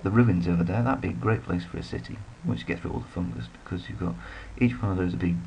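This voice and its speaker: Low creaky british guy rambling thru a strategy game, bit of hiss behind. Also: fast talker, darkish tone. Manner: rambling, self-deprecating asides. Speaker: let's play commentator. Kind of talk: casual gameplay commentary.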